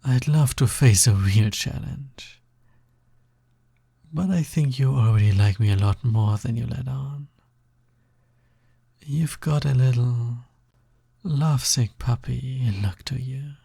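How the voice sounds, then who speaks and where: A man playing a sly, smug, possessive naga roommate in an intimate audio roleplay, with a breathy, gravelly voice and a teasing drawl that often drops toward a whisper. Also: medium pitch, slow, long pauses, warm tone, no room sound, heavily acted. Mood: smug, affectionate. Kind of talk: intimate scripted audio roleplay, close ASMR-like delivery.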